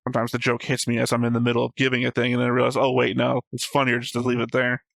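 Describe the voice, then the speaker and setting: A man's voice: medium pitch, monotone, very fast, creaky, a bit bright, no room sound. A man co-hosting a reaction show, chatting casually and wandering into joking tangents. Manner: rambling, joking, talks in tangents. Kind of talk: casual discussion and commentary.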